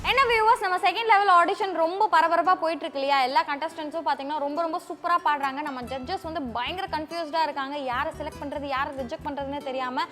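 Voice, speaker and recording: A woman presents to TV viewers over clear background music. Her delivery is upbeat and sprinkled with English. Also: high-pitched, fairly even intonation, fast, hardly any pauses. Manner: mixes Tamil with English. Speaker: TV show presenter.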